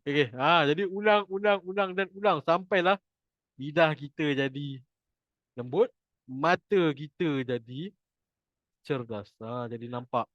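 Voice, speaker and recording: Malaysian male Quran teacher, chatty and jokey, slightly high voice, dry lo-fi recording. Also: measured pace, long pauses, no echo. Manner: chatty, jokey, repeats points for emphasis. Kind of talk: informal online class teaching.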